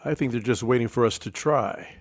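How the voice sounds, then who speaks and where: A man plays an unflappable British gentleman in a vintage radio crime serial. His mid-pitched voice is terse, polite and understated, recorded close and dry. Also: fairly quick, dry recording, close to an everyday voice. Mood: watchful. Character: unflappable, clipped English gentleman sidekick named Reggie. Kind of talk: 1940s radio drama acting.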